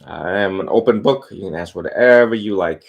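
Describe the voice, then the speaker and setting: A man in his thirties, a monk from Vietnam, speaks with a very low, deep voice. He tells his story in a relaxed, open and unhurried way, like a guest chatting on a podcast. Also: vocal fry, dull audio. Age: 30s. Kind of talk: casual interview, life story.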